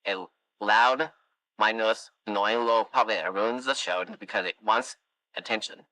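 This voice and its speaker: A rambling animatronic, voiced by a man, spins a meandering anecdote in a game. The voice is bright and forceful, sitting at an everyday pitch. It is recorded dry and close, with no room sound. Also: normal speed, no room sound, low-quality recording. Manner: long-winded, wandering anecdotes, dry musings. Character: chatty rambling animatronic, wistful storyteller. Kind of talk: fan game voice lines.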